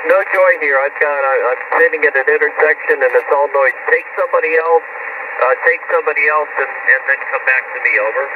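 A man's voice: fairly high pitch, brisk, narrow, tinny audio. A man operating a mobile amateur radio station, talking in radio procedure through a shortwave transmission. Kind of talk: radio contact talk. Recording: thin, very noisy distant radio signal.

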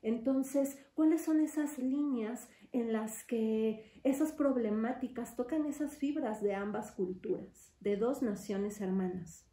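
Young woman interviewer, fairly high mellow voice, set back from mic, roomy. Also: takes it slowly, few pauses. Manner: thoughtful, long winding questions. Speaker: interview host. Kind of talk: conversational interview. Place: studio room with wood panels and glass. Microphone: desk mic on stand. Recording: echoey room, mic at a distance.

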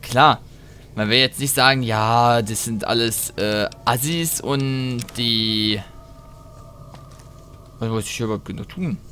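A man giving casual let's play commentary, thinking aloud in a rambling, halting way and breaking off mid-thought. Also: medium pitch, pushed and bright, a little hiss or hum.

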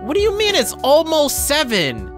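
A man's voice: very high voice, huge pitch swings, moderate pace, fairly bright tone. A young man doing casual gameplay commentary, reading story text aloud and reacting informally. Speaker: let's player. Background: game music.

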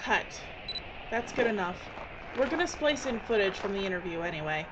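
A woman's voice: medium pitch, big pitch swings, normal speed, natural voice. A woman playing a bossy, irritable film director in an audio drama, curt and sarcastic. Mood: curt, impatient. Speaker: actor in radio drama. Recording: muffled, clear background noise.

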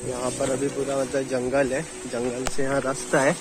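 Young man, casual outdoor vlog, arm's-length phone mic, loud music bed. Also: mid-range voice, monotone, moderate pace. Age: young adult. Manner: chatty, leans on filler "matlab". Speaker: vlogger. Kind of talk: casual walking vlog narration. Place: outdoors on a rocky seashore. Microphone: phone camera held at arm's length.

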